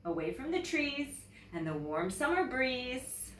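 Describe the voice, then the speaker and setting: A woman teacher with a Canadian accent tells a children's story in an unhurried, expressive way. Her intonation is lively. The room around her adds a noticeable echo. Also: sits high, recorded from a distance. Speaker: teacher reading stories to children. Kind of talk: children's storybook read-aloud with casual introduction. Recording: roomy echo, away from the mic.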